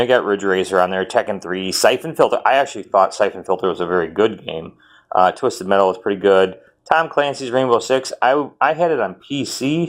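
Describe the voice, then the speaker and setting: Chatty man rambling about games. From Wisconsin, US. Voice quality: fairly high voice for a man. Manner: chatty, meandering, frequent ums and self-corrections. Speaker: retro game collector vlogger. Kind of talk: casual rambling haul talk.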